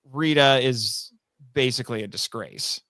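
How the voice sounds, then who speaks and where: A man's voice: mid-range voice, unhurried, bright, forceful tone, dry recording, clean studio sound. A man talking casually as a podcast guest, explaining his writing like a storyteller. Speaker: podcast guest, writer and voice actor. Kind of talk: casual interview chat.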